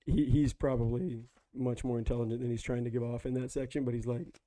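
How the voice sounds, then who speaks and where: Hoarse male book-club host, rambling casual talker with a dark, gravelly, monotone voice. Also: medium pitch, a little fast, dry recording. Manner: rambling, conversational, lots of fillers.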